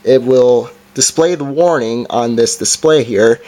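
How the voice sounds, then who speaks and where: A man giving a casual, halting hands-on gadget demonstration in an unscripted way. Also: mid-range voice, mellow. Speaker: product reviewer. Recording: noisy amateur home recording, clicks.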